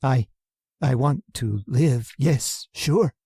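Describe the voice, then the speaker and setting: A woman's voice: a bass voice, slow, warm tone, breathy edge, exaggerated voice. A woman playing a frightened, henpecked husband in a radio horror drama, stammering and hesitant, with a pleading manner. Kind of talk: old-time radio horror drama acting. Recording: reverberant hall sound.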